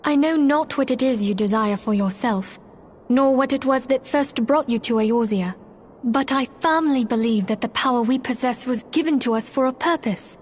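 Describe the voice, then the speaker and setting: High, poised serene fantasy leader. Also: female voice, moderate pace. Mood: grave, resolute. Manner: poised, archaic, solemn phrasing. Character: serene, earnest female leader of a secret order. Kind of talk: video game voice acting.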